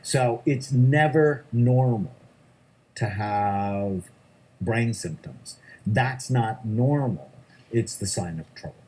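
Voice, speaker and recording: Husky-voiced emphatic male psychiatrist. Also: medium pitch, takes it slowly, a little mellow, poor audio. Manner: emphatic, repeats key points in lists. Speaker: psychiatrist guest. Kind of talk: interview answers.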